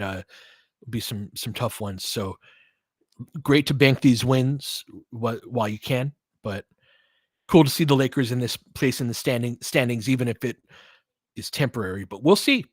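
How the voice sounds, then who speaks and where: A young man talks loosely through a game as it plays, calling the action and answering his chat by name. His voice sits at an ordinary middle pitch. The sound is dry and close, with no room in it. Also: breathy edge, dry recording. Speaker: host of a live game watch-along stream. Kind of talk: casual live play-by-play and chat with viewers.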